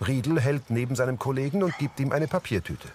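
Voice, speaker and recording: A man's voice: mid-range voice, a little fast, noisy background. A man reading scripted audio description, narrating scenes in short factual sentences.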